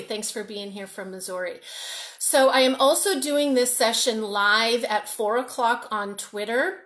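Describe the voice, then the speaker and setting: A woman's voice: fairly high pitch, a bit bright. A woman, hosting a live-stream workshop as a coach, upbeat, greeting viewers by name and often restarting words. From Massachusetts, North Shore of Boston. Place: room at home, indoors.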